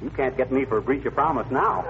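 An actor playing a grumbling, folksy middle-aged American husband in a 1940s radio comedy, blustering and wisecracking, on a muffled old recording. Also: male voice, medium pitch, lively intonation, fast talker, slight background noise, close to an everyday voice. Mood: embarrassed, wry.

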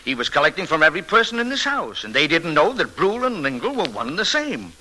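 A self-satisfied police inspector in a 1940s radio mystery. His brisk voice is bright, forceful and fairly high, with lively swings. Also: full character voice, poor audio. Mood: satisfied, explaining.

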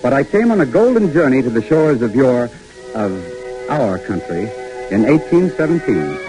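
An actor playing an earnest, good-humored young English pickle peddler in a 1950s radio drama. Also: male voice, mid-range voice, sing-song, moderate pace, lightly acted, low-quality recording. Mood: earnest narration. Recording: old radio broadcast with faint music.

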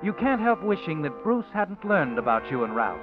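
A man's voice: fairly high pitch, very animated, moderate pace. A man voicing a mysterious narrator in a 1940s radio drama, speaking knowingly to the listener in the second person. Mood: knowing, ominous. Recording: dull old radio recording with music.

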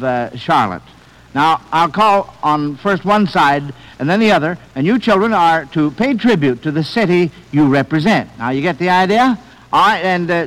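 A man hosting an old-time radio quiz show for children, speaking in a folksy, halting, chatty way. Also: fairly high pitch, low-quality recording. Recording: vintage radio broadcast.

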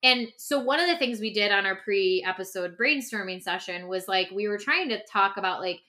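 A woman co-hosting a podcast in a casual, reflective conversation, talking in an animated, informal way with lots of "like". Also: mid-range voice, normal speed. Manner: animated, informal, peppers talk with "like". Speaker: podcast co-host.

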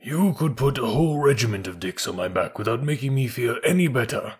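A man voicing a high-strung, sensitive male army horse in a storybook, earnest and skittish. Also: animated, a little fast, husky. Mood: earnest, uneasy.

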